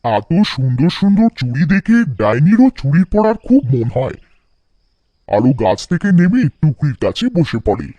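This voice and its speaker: A man's voice: fairly high pitch, sing-song, moderate pace, warm tone, no echo, highs cut off. A man narrating a scripted cartoon folktale for children.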